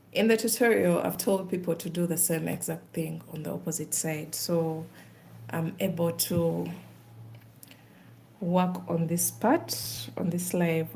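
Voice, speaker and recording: A woman's voice: lowish voice, creaky, dark tone, a little hiss or hum. A woman with a Ugandan accent, chatting casually on a live stream while she does craft work.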